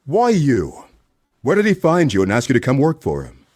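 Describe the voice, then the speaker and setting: Guy doing a wordy hardboiled detective for a comedy radio play, husky and very animated. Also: medium pitch, normal speed. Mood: probing. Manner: theatrical, hardboiled first-person narration and probing questions. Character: dogged, wordy male private detective with corny wordplay.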